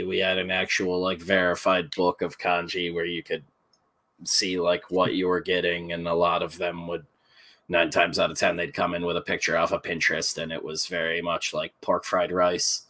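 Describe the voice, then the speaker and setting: Low-voiced man, blunt and profane. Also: pushed and bright. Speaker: podcast co-host. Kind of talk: casual chat on a livestream. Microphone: video call.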